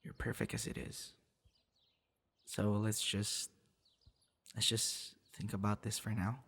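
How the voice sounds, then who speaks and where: A man performs a young male friend confessing his feelings in an ASMR boyfriend roleplay. His voice sits on the low side. He talks intimately and hesitantly, nervous but tender, leaving pauses between thoughts. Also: little pitch movement, creaky, a bit bright, husky, full character voice. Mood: gentle, reassuring.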